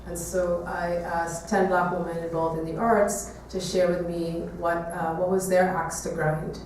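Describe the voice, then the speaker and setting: A woman from Trinidad giving an artist talk to an audience, speaking reflectively with hesitant pauses. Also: lowish voice, flat delivery, darkish tone. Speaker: visual artist giving a presentation. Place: echoey room, talk to an audience. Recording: echoey room, distant mic, faint noise.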